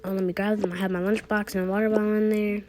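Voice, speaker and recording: A woman's voice: medium pitch, flat delivery, racing, very noisy. A woman casually improvising narration for a toy-app roleplay video, thinking aloud through each small action. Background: music.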